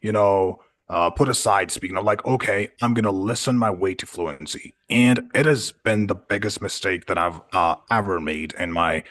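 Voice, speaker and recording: A man from Punjab with Urdu as his first language and a very low voice. He speaks in a relaxed, easygoing way, filling his sentences with "you know" and "like" as he shares tips with a class. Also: moderate pace, hardly any pauses. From Punjab, Pakistan. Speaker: guest speaker. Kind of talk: online class talk.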